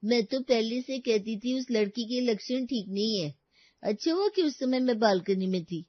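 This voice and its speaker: Lady doing nosy older neighbour aunty, smug n chatty, highish, cartoon, muffled. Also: animated, normal speed, dry recording, lightly acted. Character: watchful, self-satisfied older neighbourhood busybody woman.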